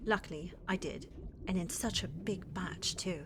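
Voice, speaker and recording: A woman's voice: on the low side, moderate pace, background noise, natural voice. A woman playing a flirty, controlling witch mistress in a medieval fantasy ASMR roleplay, coaxing and genteel in her manner. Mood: teasing. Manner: coaxing, genteel, calls others dear.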